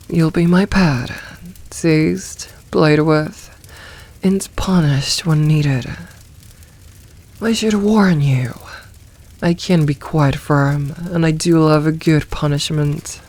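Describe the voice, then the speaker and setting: A woman voicing a possessive, teasing, dominant demoness in a soft, intimate ASMR roleplay, commanding and deliberate. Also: deep voice, full character voice.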